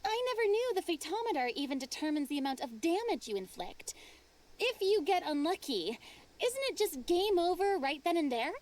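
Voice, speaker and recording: A woman's voice: extremely high pitch, sing-song, brisk, darkish tone. A woman voicing a thoughtful young woman in a role-playing game who speaks in an earnest, reasoning way.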